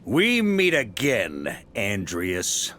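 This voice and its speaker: Game knight leader: middle-aged, low voice, lively inflection, confident, wry, at ease in command. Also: male voice, drawn-out words, a little hiss or hum, exaggerated voice. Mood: resolute.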